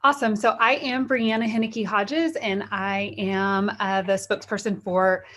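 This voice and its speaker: A woman's voice: medium pitch, fairly even intonation, a little slow, fairly bright tone. A middle-aged woman with a Texan accent co-presenting a webinar in a chatty way, full of fillers and restarts. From Texas.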